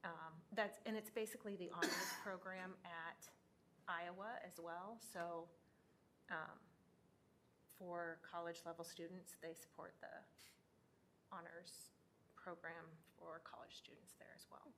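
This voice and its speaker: A woman's voice: flat delivery, unhurried, fairly bright tone. A woman board member talking in a matter-of-fact way. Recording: faint rumbling noise.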